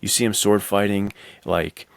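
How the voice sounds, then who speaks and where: Deep-voiced American man chatting casually. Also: steady tone, moderate pace, gravelly, no room sound. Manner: informal, slangy, enthusiastic. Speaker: podcast co-host. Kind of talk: casual film chat.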